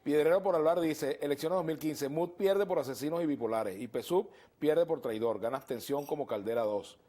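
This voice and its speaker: A man hosting a TV talk show in a studio, moderating and reading out viewer messages in a brisk, conversational way. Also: on the high side, warm tone. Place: television studio.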